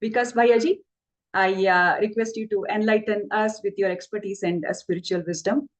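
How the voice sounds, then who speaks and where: A woman's voice: mid-range voice, fairly even intonation, moderate pace, rough recording. A woman hosting an online class, politely introducing the speaker with frequent fillers over a video call. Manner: hesitant, many fillers, polite. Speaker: session host.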